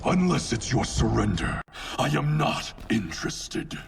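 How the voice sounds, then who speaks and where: An actor playing a proud, stern ninja grandmaster in a video game, speaking in terse, defiant, haughty statements. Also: male voice, mid-range voice, huge pitch swings, a little slow, vocal fry, distant mic, background noise, exaggerated voice. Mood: cold, defiant. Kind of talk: dramatic video game acting.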